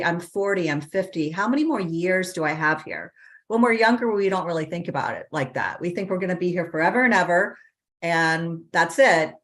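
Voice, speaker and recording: A middle-aged woman on a live stream interview, talking earnestly with many casual fillers as a dating coach. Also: on the low side. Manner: earnest, animated, many fillers like "you know". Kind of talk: conversational interview. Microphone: remote call on a live stream.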